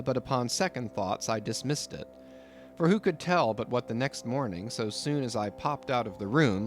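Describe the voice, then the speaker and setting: A man reading literary fiction as an expressive audiobook narrator. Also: mid-range voice.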